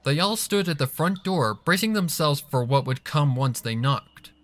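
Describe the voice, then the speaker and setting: A man's voice: fairly high pitch, lively intonation, normal speed, a bit bright. A man reading a fan fiction story aloud as scripted narration. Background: music.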